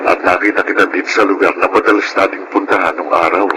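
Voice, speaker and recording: Actor as low-voiced, apologetic young Filipino man. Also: fairly even intonation, fast talker, natural voice. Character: apologetic, conciliatory young man with a jealous girlfriend. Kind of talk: Filipino radio drama acting. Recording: noisy radio drama recording.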